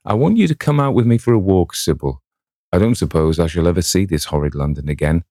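A man voicing a blunt, grumbling rough young man in a storybook reading. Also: sits low, expressive, fast, mellow, no room sound, studio quality.

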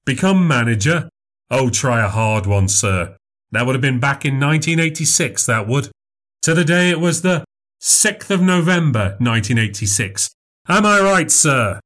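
A man's voice: mid-range voice, lively intonation, moderate pace, a bit bright, voice pushed up, lightly acted. A man voicing a formal, eager memory showman who keeps calling people sir and madam. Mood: showy, polite. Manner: showy, overly polite, says "sir" and "madam".

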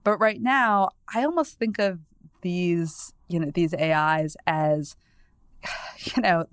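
A woman's voice: on the low side, slow, dry recording, studio quality. A woman in her thirties from New England, a guest on a podcast, talking in a chatty, discursive way full of "you know" asides and easy laughs. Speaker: guest, newspaper columnist. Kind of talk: casual podcast conversation.

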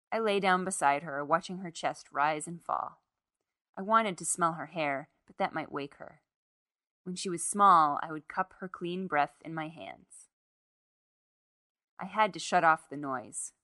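A woman's voice: deep voice, sharp tone, no room sound, clean studio sound. A woman reading a literary short story aloud as scripted narration.

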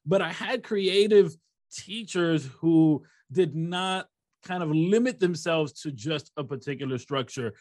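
A man from Rochester, New York, hosting a podcast and asking measured, reflective questions. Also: a little high, a little mellow, no room sound. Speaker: podcast host. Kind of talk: interview questions.

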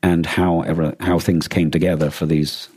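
A man's voice: sits low, flat delivery, normal speed, darkish tone, breathy edge, no room sound, clean studio sound. A man co-hosting a podcast, talking casually in a thoughtful, explanatory way with some hesitation. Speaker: podcast co-host. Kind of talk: casual discussion.

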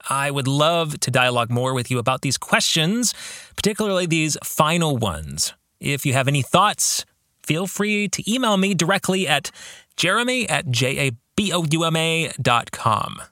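A man's voice: on the high side, very animated, normal speed, pushed and bright, no room sound, studio quality. A man hosting a podcast in a reflective monologue, speaking to listeners with earnest, emphatic phrasing. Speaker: podcast host and author, reading own book.

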